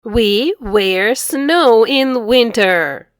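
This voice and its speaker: A middle-aged woman reading aloud to children as an English teacher, enunciating each phrase clearly. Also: fairly high pitch, lively intonation, extremely slow. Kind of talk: children's read-aloud lesson.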